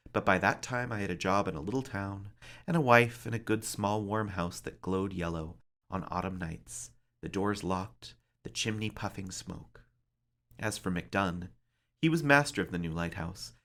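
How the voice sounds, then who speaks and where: A man reading a somber story aloud as a librarian, with theatrical, deliberate phrasing. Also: deep voice, fairly bright tone. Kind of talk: dramatic storybook narration.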